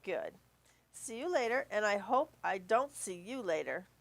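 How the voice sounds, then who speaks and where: A woman's voice: mid-range voice, animated, a little slow, vocal fry. A woman playing a patient but easily exasperated school staffer, polite and admonishing, in an amateur radio play.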